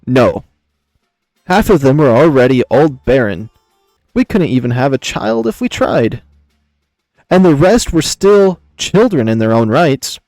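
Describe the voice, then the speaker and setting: A man's voice: medium pitch, very animated, unhurried, pauses often, a little mellow, no echo, soft background music. A man voicing a proud, playful young emperor in a visual novel, boastful and easily indignant. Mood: dismissive. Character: proud young male emperor, cocky, playful, flustered when teased.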